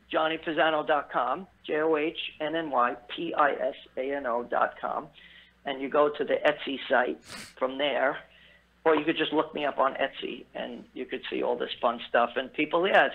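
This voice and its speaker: A man chatting as a guest musician, rambling and upbeat, with plenty of fillers and restarts. His voice sits somewhat high and comes through a thin, phone-like line. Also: moderate pace, gravelly. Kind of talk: casual interview chat. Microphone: phone call.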